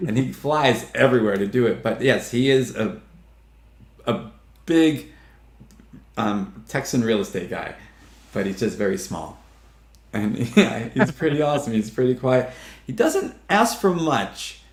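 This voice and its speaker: A man's voice: medium pitch, lively intonation, unhurried, pauses often. Fifty-ish guy chatting on an interview, laughs a lot, tells stories, kinda echoey room. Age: 50s. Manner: chatty, laughing storyteller who acts out quotes. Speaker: guest filmmaker. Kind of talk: casual interview chat. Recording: roomy echo.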